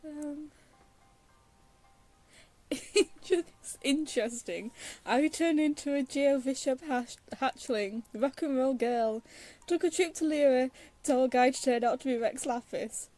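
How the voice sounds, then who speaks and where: A woman's voice: sits high, slow pace, mellow, faint music behind. A woman giving casual reaction commentary while playing a video game, in a giggly, hesitant, rambling way.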